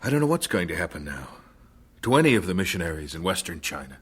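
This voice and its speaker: An actor playing a practical missionary husband in an old radio drama. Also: male voice, sits low, sing-song, fast, noisy background. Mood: stunned, grave.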